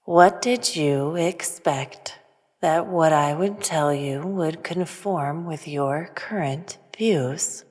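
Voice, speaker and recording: An actress playing an enigmatic, all-knowing otherworldly visitor in a sci-fi audio drama, speaking in a calm, measured, aloof way. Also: female voice, low-pitched. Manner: measured, matter-of-fact. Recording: long reverb, music behind. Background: music.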